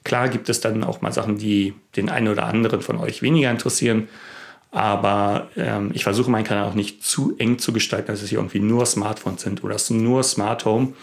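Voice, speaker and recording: Creaky-voiced man talking casually to camera. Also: on the low side, steady tone, brisk. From Berlin, Germany. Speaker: YouTube tech vlogger, host.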